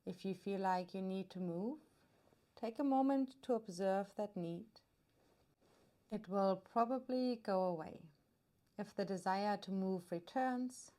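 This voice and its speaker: A woman guiding a meditation as a yoga nidra teacher, soothing and unhurried, leaving long pauses between her phrases. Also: mid-range voice, mellow. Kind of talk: guided meditation narration.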